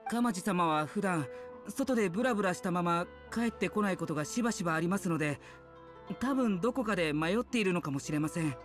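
Anxious, deferential young retainer. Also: male voice, high voice, lively intonation, a little fast, faint music behind. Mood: worried, deferential. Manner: humble, apologetic, worried. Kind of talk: Japanese anime game voice acting.

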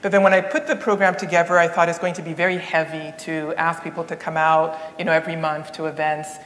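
A woman's voice: low-pitched, level tone, fast talker, slight background noise. A woman from Haiti who grew up in Canada, hosting an onstage conversation. She speaks thoughtfully, with fillers and frequent restarts. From Haiti, raised in Canada. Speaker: event host and interviewer. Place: theater stage, seated in armchair. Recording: long hall reverb.

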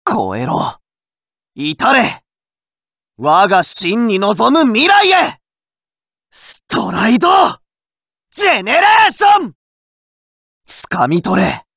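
A hot-blooded teen card fighter. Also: male voice, high voice, no echo, studio quality, exaggerated voice. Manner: shouting battle cries, rough boyish speech. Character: fiery teenage boy card fighter, hot-blooded and determined. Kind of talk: game voice lines, shouted battle calls.

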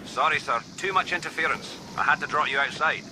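A man's voice: fairly high pitch, brisk, heavy background noise, close to an everyday voice. A man with a Scottish accent playing a chatty, eager starship engineer in a sci-fi game. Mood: sheepish, grumbling. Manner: chatty, animated. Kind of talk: scripted sci-fi game acting. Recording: very loud music under the voice.

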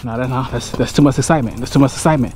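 A man's voice: mid-range voice, very fast, darkish tone, soft background music. A man in his forties talking casually to viewers while hiking a trail, chatty and jokey, calling them y'all. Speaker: fishing vlogger. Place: outdoors on sandy trails in scrubland. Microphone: chest-mounted action camera.

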